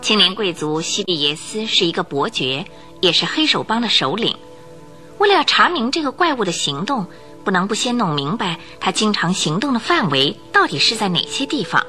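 A woman tells a radio detective story in a bright, forceful voice. Her pitch swings widely as she dramatizes the plot, and faint music sits underneath her. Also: very animated, moderate pace. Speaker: narrator. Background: music bed.

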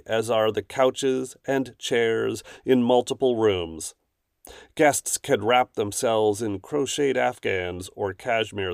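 A man's voice: mid-range voice, vocal fry, darkish tone, no echo, studio quality. A man hosting a poetry podcast, reading scripted introductions and verse aloud.

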